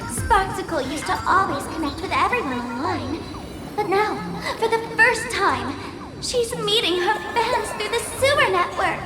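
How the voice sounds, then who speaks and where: A woman's voice: extremely high pitch, lively intonation, a little slow, roomy sound, over loud music. A woman voice acting a playful, smug young female internet idol and trickster in a video game, speaking in a theatrical, teasing, grandiose way.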